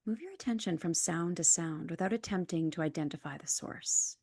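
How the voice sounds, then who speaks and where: A woman leading a guided relaxation as a yoga nidra instructor, calm and measured, with soft, breathy phrase endings. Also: on the low side, no room sound, clean studio sound. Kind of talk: guided meditation, scripted instructions.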